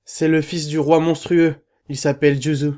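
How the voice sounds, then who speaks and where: A man's voice: on the high side, level tone, normal speed, a little mellow, close to an everyday voice. Man playing boastful, chatty school dorm chief. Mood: informative. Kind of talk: anime fan dub acting.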